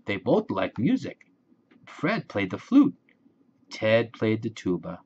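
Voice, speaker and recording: Male narrator for kids' picture book, unhurried and very lively pitch, slightly dull lo-fi recording. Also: slight background noise. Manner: slow, deliberate, expressive phrasing for young listeners. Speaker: children's book reader. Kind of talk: storybook read-aloud narration for children.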